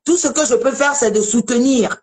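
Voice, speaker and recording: A woman's voice: sits high, normal speed, a little mellow. A woman from Togo hosting a casual live debate, chatty and emphatic, often repeating herself. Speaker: live show host.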